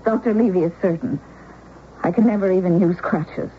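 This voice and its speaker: A woman's voice: on the low side, normal speed, highs cut off, slight background noise, close to an everyday voice. Actress as lovesick young woman, thin old radio sound. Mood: anguished, resolute. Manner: dramatic, confessional. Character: lovesick, intense young woman recounting her doomed romance. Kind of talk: old-time radio drama, first-person narration and dialogue. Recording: thin old radio broadcast, music behind.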